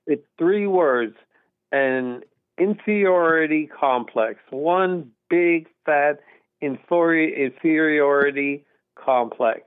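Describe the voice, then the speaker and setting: A man's voice: a little high, slow, clean tone, dry recording. A middle-aged man from Texas, calling in to a radio talk show and speaking in an earnest, emphatic, rambling way. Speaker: caller. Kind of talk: call-in radio conversation. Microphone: telephone. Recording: tinny phone line, dry.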